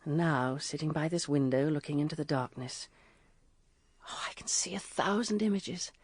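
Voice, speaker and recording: An actress playing a grieving, guilt-ridden widow in a radio drama, speaking in a halting, sorrowful, hushed manner. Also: female voice, low-pitched, lively intonation, slightly rough, dry recording. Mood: numb grief.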